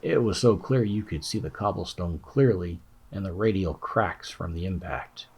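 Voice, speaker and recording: A man's voice: deep voice, moderate pace, a little mellow, husky. A man giving a scripted narration of a fantasy audiobook as its narrator.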